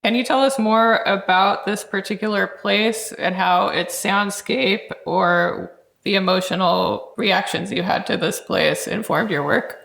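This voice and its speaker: A woman interviews as a podcast host in a medium-pitched voice with plenty of vocal fry. Her questions are measured and articulate, scholarly yet conversational. The recording has a clear room echo. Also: a bit bright. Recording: echoey, roomy sound.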